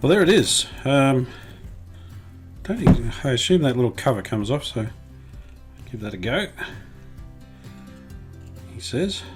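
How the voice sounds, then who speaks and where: A middle-aged man, talking casually while he tests and repairs an old radio in his workshop, thinking aloud with many pauses and restarts. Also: mid-range voice, very animated, over loud music. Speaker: radio restorer, channel host. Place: home workshop bench.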